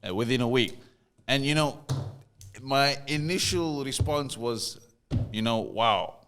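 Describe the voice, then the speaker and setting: A man's voice: mid-range voice, expressive, a little slow, a bit bright, a little hiss or hum. A South African man co-hosting a casual conversation, telling a story and often repeating words as he starts a thought. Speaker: podcast co-host.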